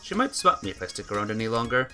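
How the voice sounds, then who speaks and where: A bright, crisp-edged reader narrates a visual novel playthrough in a lively, widely swinging mid-range voice. The reading is informal and occasionally stumbles, with a quick correction. Music plays softly behind. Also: mid-range voice. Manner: stumbles over words and corrects himself or herself.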